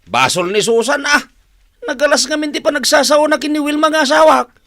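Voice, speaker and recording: A man's voice: extremely high pitch, expressive, a little fast, bright, forceful tone, no room sound, studio quality, full character voice. A man with an Ilocano accent playing a defensive, bumbling husband in a comedy radio drama, full of puzzled protests. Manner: comic puzzled interjections, protesting.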